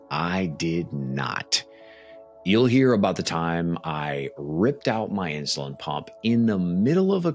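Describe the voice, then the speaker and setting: A man hosting a podcast, talking and reading his own writing in a conversational, emphatic way with dramatic pauses. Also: sits low, vocal fry. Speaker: podcast host and author. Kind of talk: casual podcast talk, then storytelling book reading.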